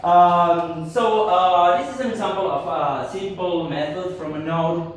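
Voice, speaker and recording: A man from Argentina gives a tech talk to a conference audience in English, his second language, halting and full of fillers. His voice sits slightly high for a man. It is picked up from afar in a strongly reverberant hall with faint background noise. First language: Spanish. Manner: halting, many fillers and restarts. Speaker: conference speaker. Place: conference room. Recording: echoey hall, speaker far from mic.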